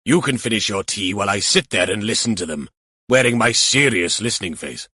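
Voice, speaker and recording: A man's voice: fairly low pitch, animated, fairly quick, pushed and bright, slightly rough, no echo, clean studio sound, exaggerated voice. A man voicing a jokey, irreverent male detective with a mock-formal, bantering manner in an audiobook.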